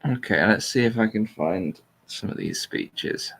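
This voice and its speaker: A man's voice: on the low side, expressive, unhurried, creaky, fairly bright tone, husky. A man hosting a casual talk, chatting and reading text aloud in a dry, informal way. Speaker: talk show host reading out a transcript. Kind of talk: casual chat and deliberately flat reading aloud.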